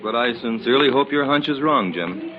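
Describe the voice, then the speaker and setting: An actor playing a sceptical, courteous estate lawyer in the manner of 1940s radio. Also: male voice, mid-range voice, lively intonation, brisk, a crowd in the background, natural voice. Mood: affable. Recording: dull, hissy old radio recording.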